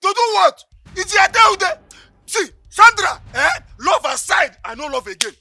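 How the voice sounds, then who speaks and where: A man's voice: high-pitched, big pitch swings, moderate pace, husky, no room sound, exaggerated voice. A man playing a desperate Nigerian boyfriend. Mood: furious. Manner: pleading, rising into shouting. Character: pleading young boyfriend turned furious.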